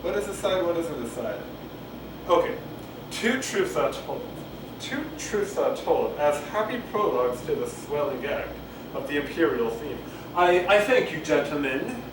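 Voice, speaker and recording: A man doing a halting, rambling, unrehearsed read-aloud of Shakespeare, stumbling and going back over lines. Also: on the high side. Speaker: amateur reader of a play. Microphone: camcorder microphone at a distance. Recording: roomy, distant camcorder mic with clear noise.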